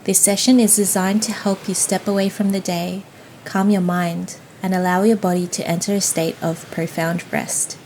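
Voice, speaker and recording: A woman leading a guided yoga nidra meditation in a soothing, gentle, unhurried manner. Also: fairly low pitch, rough recording. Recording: faint steady ocean noise behind. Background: ocean waves ambience.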